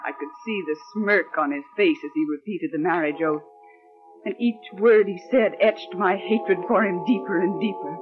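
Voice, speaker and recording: An actress playing a young secretary, proper, wary and bitter, in crisp 1940s radio drama narration style. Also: female voice, on the low side, moderate pace, natural voice. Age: young adult. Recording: muffled vintage radio recording, organ music.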